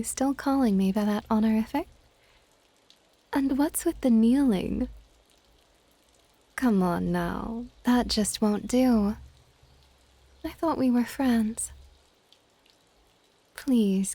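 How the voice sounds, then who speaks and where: A woman playing a possessive, affectionate dragon goddess in an ASMR audio roleplay, with a soft, whispery, teasing and intimate delivery. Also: no echo. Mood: teasing, welcoming. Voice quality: soft, breathy whispering mixed with low-volume speech. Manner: doting, teasing, unhurried.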